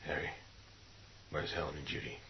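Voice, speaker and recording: A man's voice: moderate pace, slight background noise, natural voice. An actor playing a commanding, hard-headed man in a radio horror drama, blunt and giving clipped, urgent orders. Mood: grim, controlled. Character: commanding, hard-headed man leading zombie siege survivors. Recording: dull lo-fi sound.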